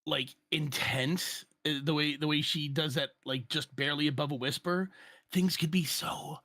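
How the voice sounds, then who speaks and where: A man with a fairly high, bright and forceful voice, chatting informally as a voice actor. He sounds reflective and modest about his own work. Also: steady tone, moderate pace. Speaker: voice actor on an audio drama. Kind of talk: casual discussion.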